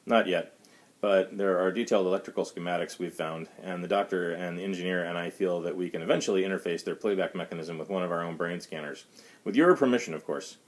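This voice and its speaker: A man reading aloud the part of an earnest, methodical starship science officer who talks in a measured, formal way. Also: low voice, lightly acted. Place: small home study, indoors.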